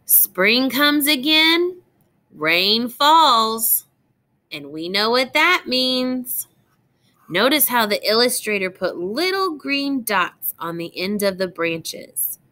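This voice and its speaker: A woman reading a picture book aloud as a teacher would, phrasing it deliberately for young children and addressing them directly. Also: a little high, sing-song, sharp tone.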